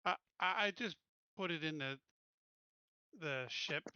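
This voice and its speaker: A man chats casually as a player in a tabletop game. His voice is very bright and forceful, pitched a little high, and it comes through dry. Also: slow pace, clean tone, dry recording, lo-fi sound.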